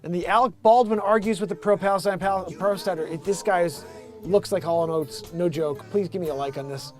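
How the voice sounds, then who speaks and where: A man hosting a casual live stream, rambling to his viewers in an animated, boastful and emphatic way. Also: high voice, a little fast, faint music behind. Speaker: live-stream entertainer and host.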